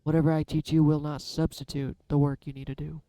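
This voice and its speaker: A soft-spoken man musing aloud in a candid, self-deprecating way as he gives a casual tarot reading. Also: fairly high pitch, flat delivery, a little fast, clear, resonant tone, dry recording. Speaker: tarot reader. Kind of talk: casual reflective vlog.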